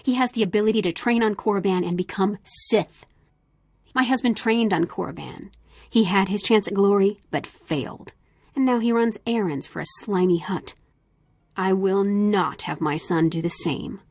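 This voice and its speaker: An actress playing a proud, determined mother in a video game, earnest and intense with a husky voice. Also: medium pitch, moderate pace, a little mellow, no echo, clean studio sound, natural voice. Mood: urgent, scornful. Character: proud, determined mother with scorn for her husband.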